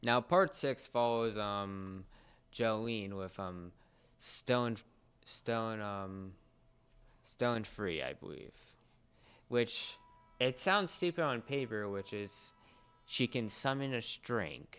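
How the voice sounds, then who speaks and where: A man co-hosting a casual talk show, explaining things haltingly and searching for words. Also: on the low side, fairly bright tone, smooth. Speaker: co-host of an entertainment talk show. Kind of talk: casual explanatory chat about anime.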